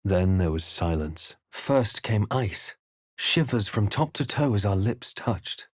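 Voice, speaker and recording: A man voicing a composed, observant, brooding adventurer in a fantasy video game, with measured, theatrical delivery. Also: fairly low pitch, animated, dry recording, studio quality. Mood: solemn, watchful. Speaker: video game player-character voice. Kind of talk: dramatic first-person narration and character barks.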